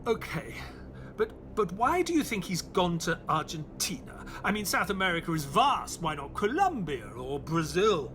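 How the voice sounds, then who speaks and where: A weary earnest sidekick in an audio play, kinda high and really animated, noisy background. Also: male voice, moderate pace. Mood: puzzled, curious. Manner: earnest, questioning, reflective. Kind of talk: audio play acting and narration.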